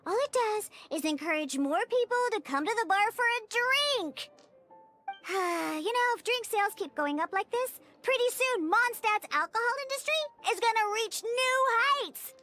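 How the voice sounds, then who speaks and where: A woman's voice: very high voice, expressive, moderate pace, pushed and bright, heavily acted. A woman voicing a grumpy little cat-girl bartender in a video game, pouty, huffy and exasperated. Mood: grumpy, scheming. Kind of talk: video game cartoon-like acting. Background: game music.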